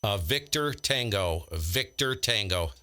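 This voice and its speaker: A man's voice: on the low side, lively intonation, slow, bright, forceful tone. An American man live-streaming ham radio with casual, blunt, slangy commentary and radio calls. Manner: blunt, slangy, exasperated grumbling.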